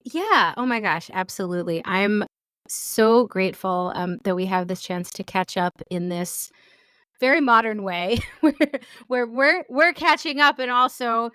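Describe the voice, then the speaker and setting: A woman's voice: mid-range voice, expressive, a little slow, pushed and bright, clear, resonant tone, dry recording. A woman hosting a podcast, introducing her guest and chatting enthusiastically, with frequent "um" fillers. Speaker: podcast host. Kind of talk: podcast intro and interview chat.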